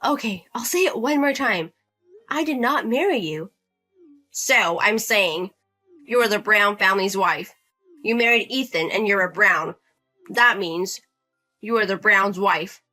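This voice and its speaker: A woman reads the part of a condescending older brother-in-law in his thirties who scolds, demands and talks down to everyone. The bright voice sits at an ordinary pitch and keeps a sneering, bossy edge throughout the drama story. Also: a little slow, pauses often, faint music behind. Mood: contemptuous. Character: entitled bullying older brother-in-law, man in his 30s.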